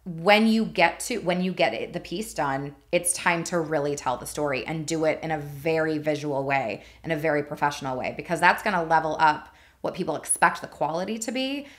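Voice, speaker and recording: A woman's voice: low voice, a little fast, few pauses, fairly bright tone. A woman, around her thirties, coaching conversationally and emphatically as a podcast host, speaking into a studio microphone. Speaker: podcast host, marketing advisor. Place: small indoor podcast studio. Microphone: studio mic on boom arm.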